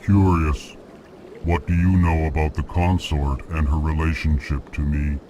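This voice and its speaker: A man playing a ponderous alien merchant in a video game, speaking in a flat, formal, deliberate way and announcing each feeling. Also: extremely low pitch, highs cut off, noisy background. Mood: ponderous, puzzled. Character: slow, ponderous alien merchant who announces his emotions.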